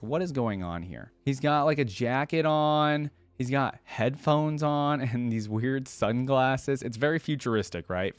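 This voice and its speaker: A man's voice: medium pitch, dry recording. A man hosting a reaction video, commenting casually and thinking aloud in an informal way.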